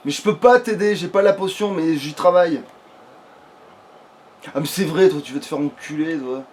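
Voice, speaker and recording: A high-pitched male gamer rambles through casual let's play commentary. He swears freely and chats as if to a friend. There is faint noise behind his voice. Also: moderate pace, darkish tone. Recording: background noise.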